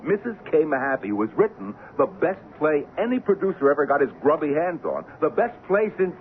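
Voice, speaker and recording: An excitable, exasperated theater producer, swooping pitch. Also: male voice, brisk. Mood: sarcastic, agitated. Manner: emphatic, repetitive, effusive. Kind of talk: old-time radio drama acting. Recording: muffled, hissy old radio recording.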